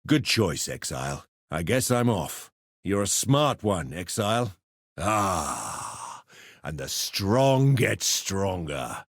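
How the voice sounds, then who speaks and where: A man's voice: low-pitched, sing-song, takes it slowly, husky, dry recording, exaggerated voice. A roguish pit fighter from a video game, swaggering and slangy. He talks like a theatrical, cheeky schemer with a tough edge. The recording is dry and close. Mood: wry, scheming. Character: roguish adult male arena fighter and pitmaster turned syndicate member. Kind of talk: video game character voice lines.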